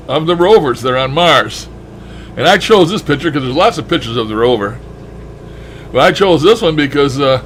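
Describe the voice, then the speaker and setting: A man with a mid-range voice rambles casually to his listeners. His delivery is folksy and blunt, with some mild swearing. A faint steady noise sits behind him. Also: medium pitch. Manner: folksy, blunt, mildly profane. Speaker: shortwave radio hobbyist vlogger.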